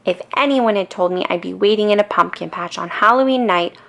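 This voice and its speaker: An indignant little girl from a storybook, put on by a woman. She complains with emphasis and impatience, her words edged with creak. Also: mid-range voice, brisk, fairly bright tone, voice pushed up. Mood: impatient. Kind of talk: children's storybook read-aloud.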